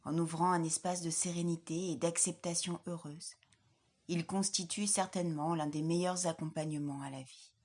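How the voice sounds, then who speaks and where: Woman, yoga instructor, low husky voice, calm guided meditation, soothing, soft breathy endings. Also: moderate pace. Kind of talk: guided relaxation meditation, scripted.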